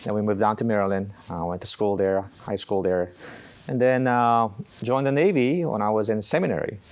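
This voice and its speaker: A middle-aged man from Korea, a Navy chaplain answering interview questions in an unhurried way, often pausing on uh. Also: on the low side, a little mellow. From South Korea. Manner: unhurried, halting with frequent uh pauses.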